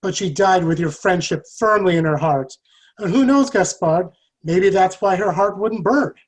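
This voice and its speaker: Man reading a husky, loyal soldier comrade. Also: high-pitched, normal speed, low-quality recording. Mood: consoling. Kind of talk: virtual table read of a play.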